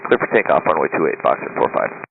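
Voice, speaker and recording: A man flying as an airline pilot, reading back clearances to the tower in brisk, businesslike radio phrasing. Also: rumbling low, sing-song. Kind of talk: radio readbacks. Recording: tinny cockpit radio with faint hiss.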